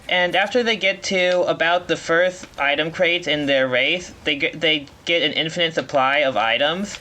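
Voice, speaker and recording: A man giving live speedrun commentary, explaining as he plays in a halting way, often rephrasing mid-sentence. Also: fairly high pitch, pushed and bright, a little hiss or hum. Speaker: speedrunner playing at a marathon.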